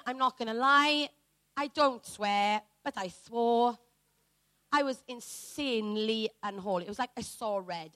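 A woman preacher from Wales telling a personal story in a sermon, animated and dramatic, acting out what people said. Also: mid-range voice, dry recording.

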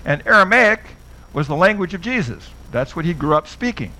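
Middle-aged man with a fairly high voice, chatty Bible lecturer who asks his listeners questions. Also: big pitch swings, brisk, sharp tone, poor audio. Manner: conversational, asks the audience questions. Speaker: Bible teacher.

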